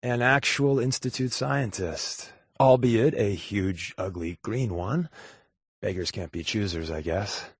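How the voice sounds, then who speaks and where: A man playing a wry, sarcastic spy companion in a video game, with a deadpan, flippant delivery. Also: on the low side, slow pace, breathy edge, no room sound, studio quality, full character voice.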